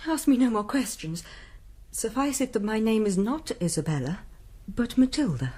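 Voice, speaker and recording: An actress with a British accent playing an anxious, gentle young noble maiden in a gothic radio drama, with formal period diction. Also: female voice, fairly high pitch, lively intonation, normal speed, husky. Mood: anxious, urgent.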